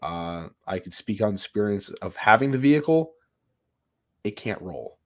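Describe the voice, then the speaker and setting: A man with a raspy voice from a sinus infection, chatting as a podcast co-host in a rambling way with many restarts. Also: lowish voice, lively intonation, moderate pace. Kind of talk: casual podcast chat.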